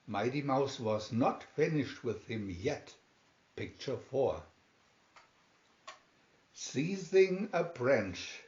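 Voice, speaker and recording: Slow, echoey male storybook reader. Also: medium pitch, darkish tone. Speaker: reader of children's picture booklets. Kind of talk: storybook reading, scripted narration. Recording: dull, echoey home recording.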